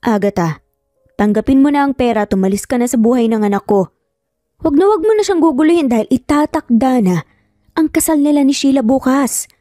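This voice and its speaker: A woman's voice: sits high, fairly quick, dark tone, no room sound, clean studio sound, faint music behind, lightly acted. A woman voicing a cruel, rich older mother in a story, stern, sarcastic and commanding. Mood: cold, sarcastic.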